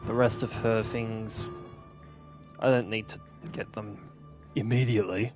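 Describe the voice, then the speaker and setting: A man's voice: on the low side, fairly even intonation, unhurried, a little mellow, faint music behind. A man giving casual, unscripted gameplay commentary in an offhand, rambling way. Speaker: gaming video host.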